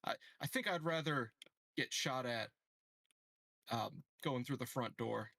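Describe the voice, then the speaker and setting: A man's voice: mid-range voice, measured pace, gravelly, bright, forceful tone, no echo, studio quality. A man playing a tabletop game, chatting casually and putting his point in a hesitant, tentative way. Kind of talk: casual chat.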